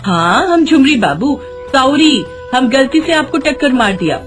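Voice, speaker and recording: A woman's voice: high voice, sing-song, measured pace, pitched above the performer's own voice. Woman voicing a naive young rustic village bride. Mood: flustered, apologetic. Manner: rustic dialect turns of phrase. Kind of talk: Hindi moral story acting. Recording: music behind the voice.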